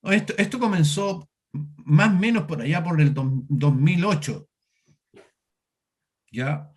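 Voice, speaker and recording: A man's voice: fairly high pitch, breathy edge. Chilean man telling his story with fillers. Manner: halting, many fillers and restarts, storytelling. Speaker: interview guest. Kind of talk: conversational interview over a video call.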